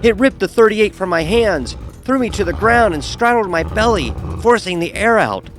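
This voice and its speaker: A man's voice: sits high, animated, normal speed, slight background noise. An actor playing a frightened, sarcastic young fraternity pledge who narrates his story in a wry, vivid, emotionally charged way. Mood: panicked. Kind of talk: first-person dramatic horror audio narration. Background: music.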